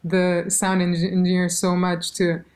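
A woman musician being interviewed, telling stories in a lively, casual way and quoting others as she goes. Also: fairly low pitch, normal speed. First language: German. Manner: animated storytelling, quotes others, laughs. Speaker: musician guest.

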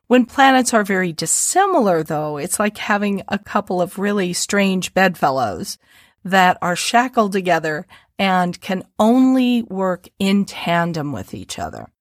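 A woman with a slightly twangy Midwestern American accent, an astrologer co-hosting a podcast and explaining things in a relaxed chatty way. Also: mid-range voice, no room sound, studio quality. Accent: Midwestern American, a little twangy. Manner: explanatory, relaxed, uses homely images. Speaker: astrologer co-host.

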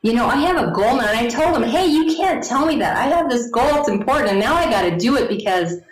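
A woman in her forties telling her story to an interviewer, animated, laughing often and acting out the people she quotes. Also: a little high, fast talker. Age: 40s. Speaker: guest. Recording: echoey room.

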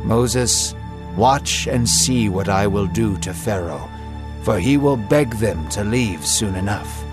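A solemn, commanding divine voice, put on by a male reader, speaks with compassion and strength. The delivery is slow and steady, with clear music running underneath. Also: mid-range voice, natural voice.

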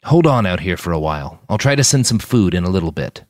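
Older army major, male narrator acting, audio fiction: exhausted, kindly, measured, plain-spoken, animated intonation. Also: low-pitched, no echo, clean studio sound. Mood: grave, frank.

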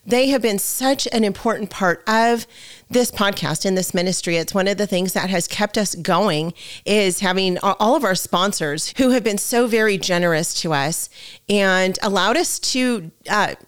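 A woman hosting a podcast in a casual, effusive way, her voice raspy as she gets over a head cold. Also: mid-range voice, normal speed. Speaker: podcast host. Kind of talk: casual, friendly chat.

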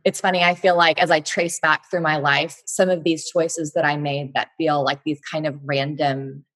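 Low, husky-voiced woman telling her story. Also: level tone, moderate pace, a bit bright. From Texas. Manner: reflective storytelling with frequent fillers. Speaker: guest, blogger and entrepreneur. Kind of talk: conversational interview.

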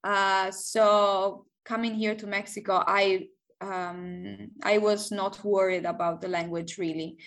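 A woman in her thirties from southern Italy with an Italian accent, speaking English in a casual interview and pausing often to find words. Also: mid-range voice, level tone, fairly bright tone. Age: 30s. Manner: hesitant, many pauses and fillers, light laughs. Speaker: guest.

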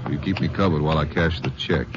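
An actor playing a hard-bitten, bossy American cowpoke bank robber in a 1950s western radio drama, gruff and commanding. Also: male voice, rumbling low, fast. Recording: muffled old radio recording, noisy car background.

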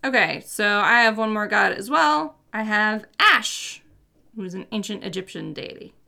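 Gravelly-voiced woman explaining at length. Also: mid-range voice, lively intonation, moderate pace, sharp tone. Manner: explanatory, many fillers and hedges. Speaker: podcast co-host. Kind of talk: casual chat about mythology.

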